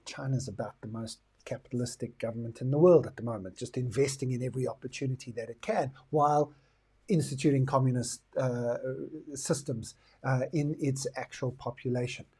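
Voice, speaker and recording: A man's voice: mid-range voice, fairly even intonation, fairly quick, warm tone, husky. A middle-aged South African man hosting a talk, explaining his views in a reflective way. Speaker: host. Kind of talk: talk to camera on current affairs and the future.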